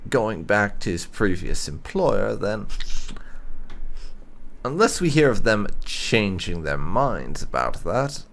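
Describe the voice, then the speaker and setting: A man hosting a gaming let's play, musing aloud in a measured way as he roleplays choices. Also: fairly low pitch, sing-song, gravelly, a bit bright. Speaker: gaming channel host.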